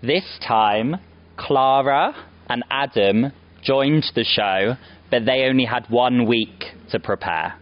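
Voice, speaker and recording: A man, a stage actor, answering audience questions in measured, plain words. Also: mid-range voice. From London, England. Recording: dull lo-fi sound.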